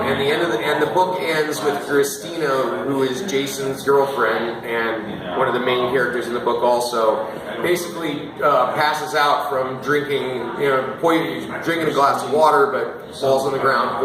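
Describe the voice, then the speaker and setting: Author with a hoarse voice, roomy crowd. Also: male voice, medium pitch. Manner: chatty, many fillers, clears throat often. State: voice going hoarse. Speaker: author giving a reading. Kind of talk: reading aloud, then casual talk about his books. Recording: roomy echo with crowd behind.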